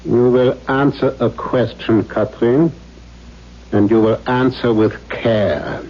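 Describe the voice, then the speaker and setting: A man's voice: mid-range voice, fairly even intonation, a little mellow. An actor playing a cold, domineering, aging father in a 1970s radio drama, stern, formal and suspicious. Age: older. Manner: stern, formal, deliberate, menacing. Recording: dull vintage radio recording.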